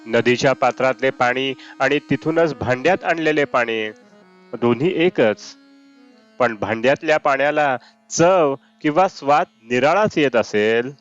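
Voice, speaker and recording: A man reads a devotional discourse at a slow, deliberate pace in a mid-pitched voice. Indian classical music with sitar plays behind him, and the recording is slightly dull. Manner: measured, deliberate phrasing. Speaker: reader of spiritual discourses. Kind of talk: read-aloud devotional discourse. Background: Indian classical raga music with sitar.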